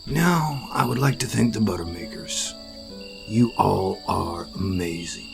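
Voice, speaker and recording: A man's voice: low-pitched, a little slow, vocal fry, over music. A man delivering a scripted outro as host of an audio show.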